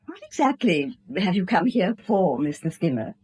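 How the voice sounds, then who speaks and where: Poised wife with swooping intonation. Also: female voice, fairly low pitch, very animated, normal speed, a little mellow. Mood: cool, pointed. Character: poised, loyal bank manager's wife. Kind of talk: 1970s radio drama acting.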